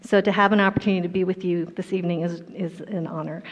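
A woman's voice: lowish voice, steady tone, quick pace. Middle-aged female speaker, testimony talk, long hall reverb, muffled lo-fi sound. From Colorado, USA. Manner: warm storytelling, self-deprecating humor. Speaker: guest speaker. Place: large indoor hall stage. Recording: dull, echoey hall sound.

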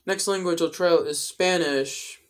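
A young adult man explaining things in a casual vlog style, with careful, halting phrasing, recorded indoors at home. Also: a little high, fairly bright tone. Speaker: video creator. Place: indoors, small room at home.

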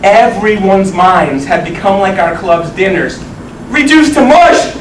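A young man giving a rhyming comic tall-tale speech in a theatrical, projected manner with dramatic pauses. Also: high-pitched, animated. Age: young adult. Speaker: contestant speaker. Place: medium banquet meeting room, indoors. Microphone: distant camera microphone. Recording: echoey room, far from mic, noisy. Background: audience noise.

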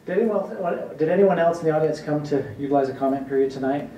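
A man chairing a council meeting in formal procedural language, speaking haltingly with many pauses. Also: mellow, muffled recording. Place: large indoor council chamber. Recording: roomy, distant council chamber pickup, faint rumble.

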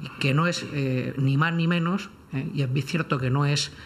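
A man's voice: on the high side, fairly even intonation, moderate pace, a little hiss or hum. A man speaking as a lawyer on a discussion panel, in careful, formal phrases with frequent hesitations. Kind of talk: unscripted legal commentary in a round-table debate.

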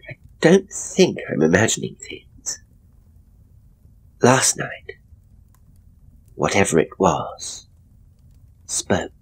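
Guy doing a sick scared wife in a horror story, slow and breathy, halting. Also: low voice, wildly swooping pitch, full character voice. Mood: hushed, uneasy. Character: ailing, frightened married woman confiding in her sister.

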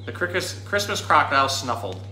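A man in his thirties reading a children's story aloud in an animated, dramatic storytime manner. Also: on the high side, brisk. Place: indoor room. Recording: roomy indoor sound with faint noise.

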